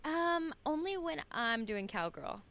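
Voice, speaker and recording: A woman's voice: high-pitched, big pitch swings, unhurried, fairly bright tone, no echo. A woman talking as a podcast guest, giggly, frank and playful. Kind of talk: casual chat.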